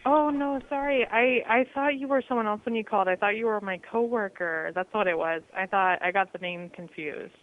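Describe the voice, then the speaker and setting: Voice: medium pitch, fairly quick, few pauses. Polite worker over a tinny phone line. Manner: polite, apologetic, uncertain. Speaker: call recipient, worker on shift.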